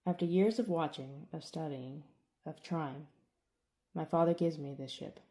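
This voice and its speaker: A woman reading the part of a stern, controlling older alien man who runs a fighting arena, in a measured, lowered, quietly commanding delivery. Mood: cold, reflective. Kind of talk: audiobook character reading.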